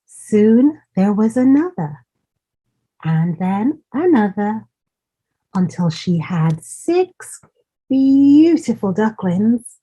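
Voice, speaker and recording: A woman reads a children's story at a very slow, deliberate pace. Her voice is mellow and somewhat husky, and she is expressive, addressing young listeners directly. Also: medium pitch, poor audio.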